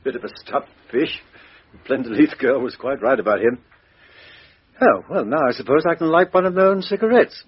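A brisk Scotland Yard chief inspector. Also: male voice, mid-range voice, expressive, husky. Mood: breezy. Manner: brisk, breezy, lots of "uh". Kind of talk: 1950s radio drama acting. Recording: dull old radio broadcast.

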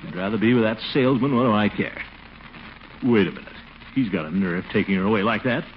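A man's voice: on the low side, quick pace, natural voice. An actor playing a blustery, pompous middle-aged man with a jealous streak in a 1940s radio comedy, full of exclamations and mutters. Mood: sulky. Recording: noisy, muffled 1940s radio recording.

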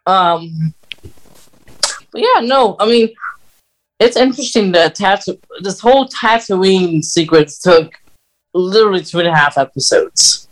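A podcast host chatting casually, giving opinions in a hesitant, halting way. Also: medium pitch, animated, low-quality recording. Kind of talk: casual film-fan chat.

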